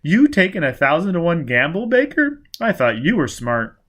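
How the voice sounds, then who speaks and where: A man voicing a rough, hard-bitten male crook in an adventure novel, blunt, urgent and quick to anger. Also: on the high side, wildly swooping pitch, a bit bright, pitched above the performer's own voice, full character voice. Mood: scornful.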